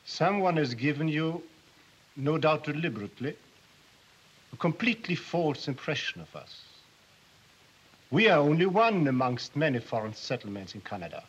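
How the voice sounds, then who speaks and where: Dignified older émigré leader in a wartime film: slow, earnest, husky, dull vintage soundtrack. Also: male voice, mid-range voice. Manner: deliberate, impassioned, dignified. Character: principled older German émigré community leader in Canada. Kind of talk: earnest speech in a 1940s film drama.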